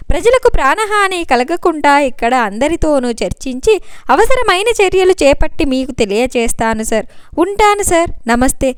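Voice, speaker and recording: A woman's voice: high-pitched, smooth, dry recording, studio quality. A woman voicing a dutiful, composed male government officer in an audiobook, speaking formally and reassuringly.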